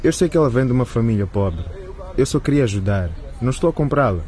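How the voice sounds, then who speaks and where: A man's voice: medium pitch, animated, fast talker, mellow, lightly acted. A man playing an awkward, lovestruck young suitor in a radio drama, hesitant and defensive. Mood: defensive, earnest.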